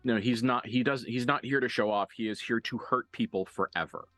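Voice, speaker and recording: A man improvising narration as a game master for a horror tabletop game, conversational and halting, with graphic detail. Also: mid-range voice, a bit bright, no room sound, soft background music.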